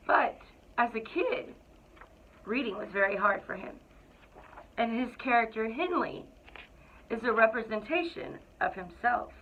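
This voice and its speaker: Woman reading aloud, thin phone-like sound. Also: a little high. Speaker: educator reading aloud to school students. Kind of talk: read-aloud of a children's picture book.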